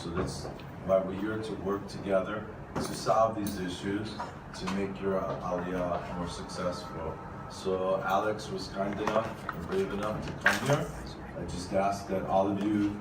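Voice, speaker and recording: A man hosting an open forum, speaking earnestly and encouragingly to a room of people. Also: low-pitched. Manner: earnest, encouraging, says y'all. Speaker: forum host. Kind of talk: addressing a room. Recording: echoey room, distant mic, noisy.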